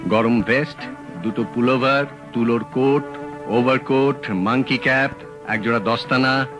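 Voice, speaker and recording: An actor playing a calm, shrewd male detective in a radio drama, talking in a measured, dry, matter-of-fact way. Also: medium pitch, music in the background, natural voice. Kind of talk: 1970s radio drama acting.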